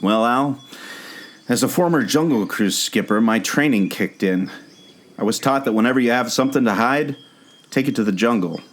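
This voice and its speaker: A man's voice: mid-range voice, normal speed, natural voice. A man playing an earnest, obsessive amateur sleuth and former jungle skipper in a scripted audio drama. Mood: explaining. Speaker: podcast co-host.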